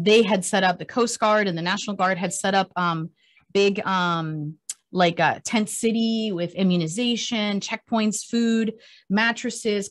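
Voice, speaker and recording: A woman in her forties, born in Cuba and a native Spanish speaker, chatting as an interview guest and telling a long story with many fillers. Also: medium pitch. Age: 40s. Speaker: country singer, guest. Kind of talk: casual interview chat.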